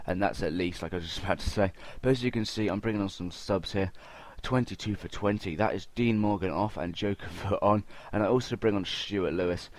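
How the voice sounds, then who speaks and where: Young man, deep-pitched voice, dry sound, informal gaming host, chatty commentary aimed at viewers. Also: dry recording. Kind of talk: casual gameplay commentary.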